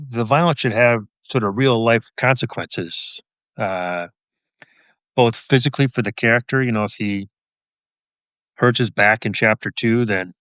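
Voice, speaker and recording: A man answering interview questions as a guest author, casual and reflective, in a thoughtful, measured manner. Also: lowish voice, fairly bright tone, dry recording.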